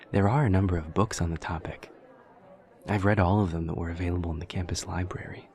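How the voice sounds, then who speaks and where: A man playing an awkward, literal-minded demon college student in an audio roleplay, speaking in a halting, formal, earnest way. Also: deep voice, darkish tone. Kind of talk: roleplay audio drama acting.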